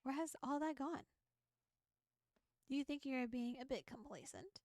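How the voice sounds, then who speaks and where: A woman's voice: high-pitched, normal speed, a little mellow, no room sound, clean studio sound. A playful, giggly woman VTuber reading a visual novel aloud and adding casual commentary. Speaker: VTuber streamer.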